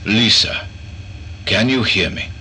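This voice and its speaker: A man playing an eccentric, patient doctor in a horror audio drama, questioning in a formal, measured way. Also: fairly low pitch, sharp tone, slight background noise, full character voice. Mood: measured, clinical.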